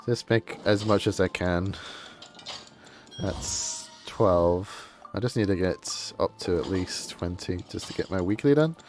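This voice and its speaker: Low-voiced man grumbling on a livestream. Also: unhurried, a little mellow, soft background music. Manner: opinionated, grumbling, informal. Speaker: game streamer. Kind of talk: casual livestream chat, rambling opinions.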